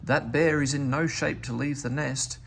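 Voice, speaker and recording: A man voicing an earnest, childlike, caring bird in an expressive children's storybook reading. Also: medium pitch, normal speed, vocal fry, a little hiss or hum. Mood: worried, determined. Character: Big Bird, earnest childlike male bird, worried but caring. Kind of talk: children's storybook read-aloud.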